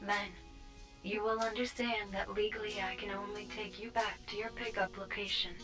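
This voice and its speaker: A woman's voice: medium pitch, little pitch movement, natural voice. Woman as formal AI cab voice, music behind. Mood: polite, firm. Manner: formal, measured, apologetic. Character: polite, dutiful female AI of a self-driving cab. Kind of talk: scripted audio drama.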